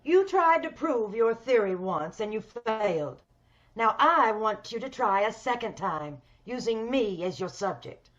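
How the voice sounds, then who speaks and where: A woman's voice: fairly high pitch, sing-song, a little slow, a bit bright. A woman playing a bitter, wealthy, commanding woman in an old-time radio drama. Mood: coldly insistent. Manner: cold, insistent, commanding. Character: wealthy, determined, bitter ugly woman scheming for a new body. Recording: dull video-call audio.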